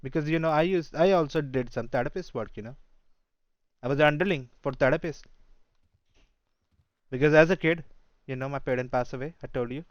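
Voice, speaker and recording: A man's voice: a little high, brisk, long pauses, no echo, highs cut off, close to an everyday voice. A man with an Indian accent improvising a cocky, touchy police job applicant who rambles and keeps asking for agreement in a comedic roleplay. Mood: rambling, earnest. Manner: rambling, repeatedly seeking agreement with "correct?" and "you know".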